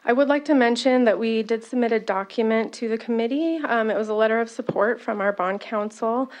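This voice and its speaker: A woman finance officer giving formal testimony to a committee, loud-voiced, with frequent "um" fillers and small apologetic asides. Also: fairly high pitch, fairly quick.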